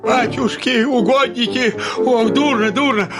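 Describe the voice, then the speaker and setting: An actor playing a feeble, frightened old manservant, quavering and flustered, in a classic radio play. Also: male voice, extremely high pitch, measured pace. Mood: panicked, faint. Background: music.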